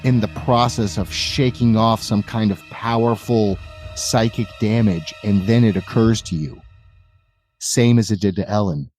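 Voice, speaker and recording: A man narrating a tabletop roleplaying game as game master, in a deliberate, measured way. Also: on the low side, a little mellow, soft background music.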